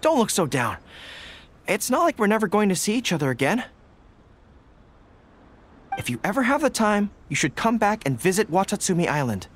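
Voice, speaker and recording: A man playing a caring, steadfast young dog-eared general in a video game, sincere and gently reassuring. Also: high voice, sing-song, normal speed, pauses often, a little hiss or hum. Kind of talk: scripted video game voice acting.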